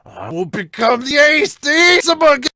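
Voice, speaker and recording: A man voicing a brash, fired-up teenage boy pitcher in an amateur manga dub, loud and boastful. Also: sing-song, moderate pace, no room sound, clean studio sound, heavily acted. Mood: fiercely determined.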